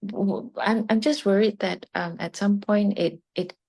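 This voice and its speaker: A woman in her thirties from Malaysia, asking hesitantly and politely for advice over a video call, with many pauses and restarts. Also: medium pitch, fairly even intonation, vocal fry, darkish tone, husky.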